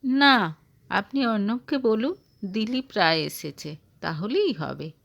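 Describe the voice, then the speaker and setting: A woman's voice: mid-range voice, expressive, slow pace, gravelly, a bit bright, exaggerated voice. A woman voicing an elderly, plain-spoken male visitor with a firm, insistent manner in an audio story.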